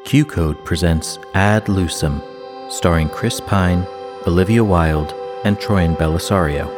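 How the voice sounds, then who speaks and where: A man reading scripted opening credits as an announcer, in a measured, solemn manner. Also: deep voice, music in the background.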